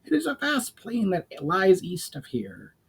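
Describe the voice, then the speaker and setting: Casting: a man as an earnest goblin sidekick for a fantasy storybook, high-pitched, formal, full of questions. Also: wildly swooping pitch, a little slow, vocal fry, breathy edge, full character voice. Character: inquisitive male goblin companion, earnest and formal.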